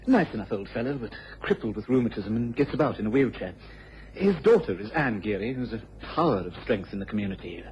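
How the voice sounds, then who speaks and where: An actor playing an earnest, baffled English police investigator in a radio drama, speaking haltingly and apologetically. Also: male voice, on the low side, lively intonation, darkish tone, close to an everyday voice. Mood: earnest, hesitant. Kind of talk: British radio drama acting. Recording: dull old radio recording, clear noise.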